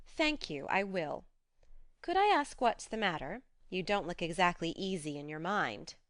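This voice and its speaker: A woman voicing a spirited, frank young woman who talks briskly and coaxingly. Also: fairly low pitch, very animated, a bit bright, no room sound, clean studio sound.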